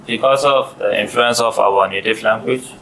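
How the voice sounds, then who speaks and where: A man's voice: mid-range voice, moderate pace, gravelly, a bit bright, slight background noise, poor audio. A man in his twenties whose first language is Burmese, answering in class with many fillers. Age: 20s. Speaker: student.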